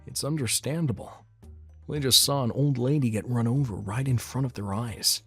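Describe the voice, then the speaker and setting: A woman plays a worried, caring dad in a manga dub. The voice is dropped very low, husky and concerned, with music underneath. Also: normal speed, full character voice.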